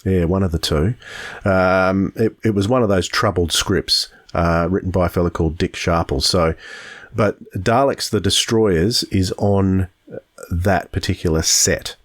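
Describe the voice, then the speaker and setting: Casting: male podcast host, deep register, informal conversational delivery. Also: measured pace. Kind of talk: casual podcast chat.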